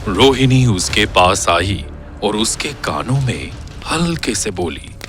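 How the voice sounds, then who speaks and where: A male narrator tells a horror story with suspense and drama. His slightly low voice moves slowly and swings widely for expression, with clear music underneath. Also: lively intonation, fairly bright tone. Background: background music.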